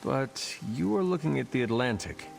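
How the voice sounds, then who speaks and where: A man's voice: mid-range voice, lively intonation, normal speed, gravelly. A man playing a solemn, loyal warrior hero in a video game, speaking formally and earnestly. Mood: sincere. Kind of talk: video game cutscene acting.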